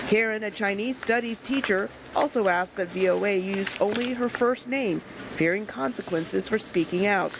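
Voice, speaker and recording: A woman reporter reading scripted news narration in a measured, even delivery. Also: mid-range voice, slight background noise.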